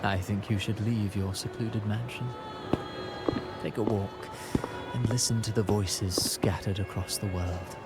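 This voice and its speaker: A man playing a suave, philosophical villain playwright in a video game, measured and pompous in a theatrical way, with music behind. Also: fairly low pitch, fairly even intonation. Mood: fervent, grandiose. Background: loud game music.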